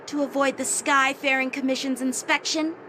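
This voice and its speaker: An actress playing a sharp, outspoken young woman who questions pointedly in a video game. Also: high voice, flat delivery, a little hiss or hum. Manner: quick, pointed, easily indignant.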